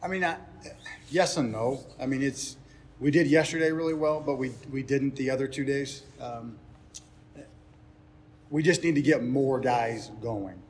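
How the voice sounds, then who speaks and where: A man's voice: medium pitch, a little slow. A man in his thirties, a sports coach, giving earnest, explanatory unscripted answers in a press interview, often repeating phrases for emphasis. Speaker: head baseball coach. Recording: ambient noise behind speech.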